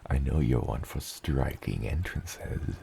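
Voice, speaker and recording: An immense father dragon speaks in an extremely deep, gravelly rumble. He is slow, tender and doting, choosing old-fashioned words in a soft ASMR roleplay style. Faint ambient noise sits behind him. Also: full character voice. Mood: reassuring, fond.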